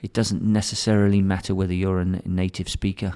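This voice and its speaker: British man, low voice, reverberant room. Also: flat delivery, quick pace, mellow, smooth. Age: middle-aged. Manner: rambling, repeats words and restarts often. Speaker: podcast guest, school academic director. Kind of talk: casual interview talk. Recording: hall reverb.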